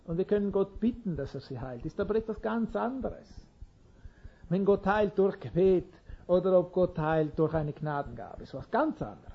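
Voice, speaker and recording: A man's voice: high-pitched, animated, moderate pace, vocal fry, breathy edge, lo-fi sound. A man preaching an informal sermon in an earnest way, chuckling now and then and restarting sentences.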